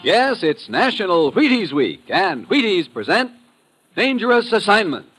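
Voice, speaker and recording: Old time radio announcer man, big lively delivery, loud music behind him. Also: high voice, a little slow. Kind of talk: dramatic 1950s radio announcing.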